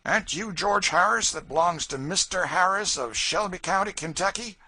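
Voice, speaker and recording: A man reading aloud, voicing a brash, coarse slave catcher who blusters loudly. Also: high voice, moderate pace, breathy edge, pitched above the performer's own voice, full character voice. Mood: blustering. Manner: loud, blustering, coarse frontier speech.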